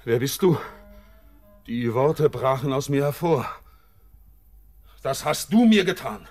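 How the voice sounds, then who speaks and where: A young actor playing an orphaned sailor, torn between pleading and dread, in a classic radio play. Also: male voice, mid-range voice, lively intonation, slow pace, darkish tone, faint music behind. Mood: anxious.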